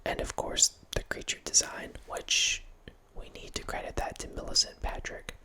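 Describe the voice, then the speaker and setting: A man in his thirties whispering a relaxed ASMR ramble as host, close to a studio mic in a small home room, with frequent restarts. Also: moderate pace. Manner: whispering throughout, relaxed film-nerd musing with frequent restarts. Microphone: studio mic close up, headphones on.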